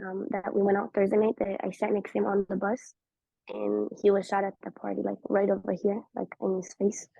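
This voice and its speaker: Fast-talking Israeli woman, gravelly voice. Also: mid-range voice, dry recording. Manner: fast, animated, acts out quoted voices. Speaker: interview guest. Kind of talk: casual personal storytelling. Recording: dull lo-fi recording.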